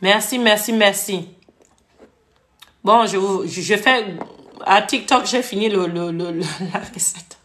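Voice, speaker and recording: A woman's voice: mid-range voice, unhurried, long pauses, a bit bright. A woman from Gabon hosting a live stream, scolding viewers in a heated, repetitive rant. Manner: scolding, repetitive, switches to English briefly. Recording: echoey room.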